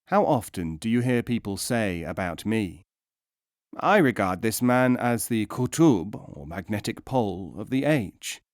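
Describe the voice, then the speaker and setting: A man reading philosophical teaching stories as a scripted audiobook narration, in a measured, emphatic, instructive way. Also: fairly low pitch, lively intonation, no room sound, clean studio sound.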